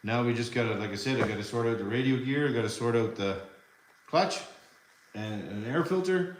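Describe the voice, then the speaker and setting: A man's voice: medium pitch, a little fast. Canadian man chatting in an echoey workshop. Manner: rambling, restarts often, chats with viewers. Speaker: livestream host. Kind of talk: casual live-stream chat. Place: RC hobby workshop indoors.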